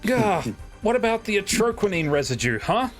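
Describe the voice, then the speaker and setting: Woman as a flustered defense attorney, lively pitch, music. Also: moderate pace, close to an everyday voice. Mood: joking, unsure. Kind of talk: live amateur fan dub, jokey and casual. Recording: game music behind the voice.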